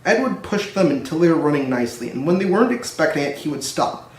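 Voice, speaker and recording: A man's voice: a little high, sing-song, quick pace. A man narrating a children's story as a storybook reader. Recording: roomy echo, distant microphone.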